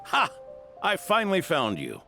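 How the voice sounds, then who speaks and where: An actor voicing a kindly, protective old grandfather knight in a video game, with a gravelly voice. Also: a little high, wildly swooping pitch, normal speed, over music, heavily acted. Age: older.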